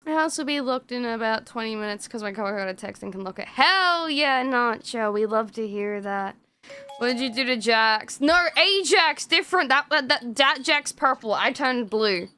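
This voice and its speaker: Bright, crisp young woman. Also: fairly high pitch, animated, normal speed. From Australia. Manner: chatty, swears freely, laughs often. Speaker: streamer. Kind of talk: casual chat stream.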